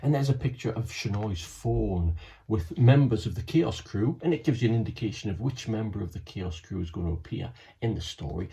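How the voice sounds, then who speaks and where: A man's voice: lowish voice, warm tone. A man, a children's author reading his book aloud to young listeners, with chatty asides.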